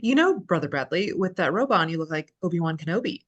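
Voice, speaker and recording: A confident female priest played by a woman with a slightly low voice. She is plain-spoken and teasing, talking in a wry, conversational way through a comedy script read. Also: big pitch swings, fast, lo-fi sound.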